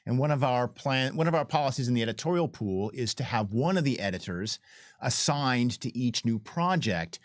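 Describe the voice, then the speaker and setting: A man chatting casually as a game developer and co-host, telling anecdotes in an animated way with acted-out quotes. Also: fairly low pitch, no room sound, clean studio sound.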